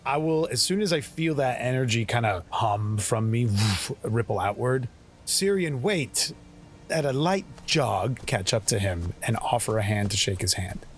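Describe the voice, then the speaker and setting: A man playing a tabletop roleplaying game, describing his actions and speaking in character in a halting, earnest way. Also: medium pitch, expressive, a little hiss or hum.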